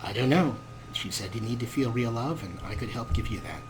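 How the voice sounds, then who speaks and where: A man's voice: mid-range voice, lively intonation, brisk, a little hiss or hum. A man hosting a gaming show with casual let's-play commentary, talking in a wry, rambling way.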